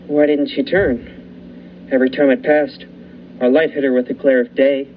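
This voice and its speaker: Suspenseful lighthouse-keeper narrator, played by a man, measured delivery, mid voice; dull muffled recording. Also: medium pitch, flat delivery, lightly acted. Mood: tense. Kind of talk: student radio play narration. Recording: muffled with faint hiss.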